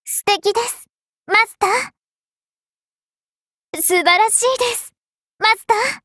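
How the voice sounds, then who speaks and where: A woman's voice: very high-pitched, takes it slowly, sharp tone, no room sound, clean studio sound, heavily acted. A woman voicing a lovestruck, clingy young maiden in an anime mobile game, very polite, coy and devoted. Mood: proud, adoring.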